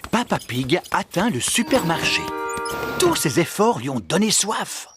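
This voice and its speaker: A man playing a friendly storybook narrator in a French children's cartoon, with a clear, explanatory delivery. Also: sits high, very animated, measured pace. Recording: loud music behind.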